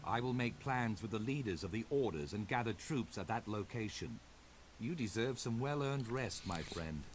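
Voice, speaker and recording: A man's voice: on the low side, animated, slight background noise, natural voice. A man voicing a grave, solemn war commander. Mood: solemn resolve. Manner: grave, rousing, measured. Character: solemn male war commander rallying allies. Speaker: game character, military leader. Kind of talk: video game voice acting. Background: orchestral game music.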